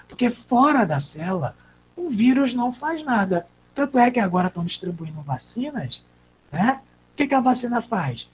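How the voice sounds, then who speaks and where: A woman's voice: a little high, big pitch swings, moderate pace, slight background noise, rough recording. A woman with a Brazilian Portuguese accent teaching an online class, explaining step by step and answering her own questions.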